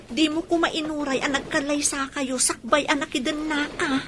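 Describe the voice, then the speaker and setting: A woman playing a worried, fretting village mother in a radio drama, with a husky voice. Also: sits high, steady tone, moderate pace, noisy background, lightly acted. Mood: fretting, concerned.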